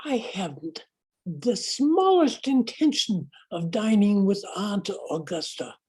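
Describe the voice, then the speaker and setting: Woman doing a snobby languid victorian young gent, slow and dry, mellow voice, stage comedy. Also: medium pitch, very animated, slightly rough, full character voice. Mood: disdainful. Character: languid witty young Victorian gentleman, disdainful of relatives.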